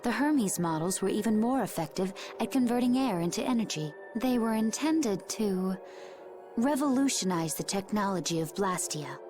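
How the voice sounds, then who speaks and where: An actress playing a composed, knowledgeable woman in a video game, explaining grave history in a measured, technical way. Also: mid-range voice, lively intonation, over music, natural voice. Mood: grave, explanatory. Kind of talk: scripted video game acting.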